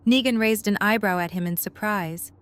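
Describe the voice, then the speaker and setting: Female narrator for scripted fiction, mid-range pitch, smooth and clear. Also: normal speed.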